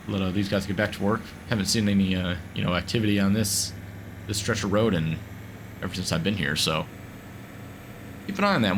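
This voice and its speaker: Low-voiced rambling gamer man with a cold. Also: background noise. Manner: rambling, filler-heavy, chatty. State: getting over a cold. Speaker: gaming video host. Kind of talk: casual gameplay commentary.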